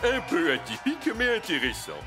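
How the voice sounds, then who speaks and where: A fussy cartoon gentleman, measured and self-important. His slightly high voice moves widely in pitch, with music behind. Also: wildly swooping pitch. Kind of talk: animated cartoon dubbing.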